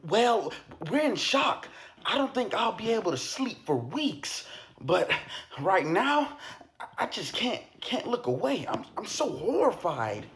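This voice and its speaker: A man's voice: sits high, very animated, unhurried, fairly bright tone, exaggerated voice. Nervous guest played by a man: polite puppet-show figure, hesitant, stammering, very variable intonation. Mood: shocked. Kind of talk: amateur puppet show acting.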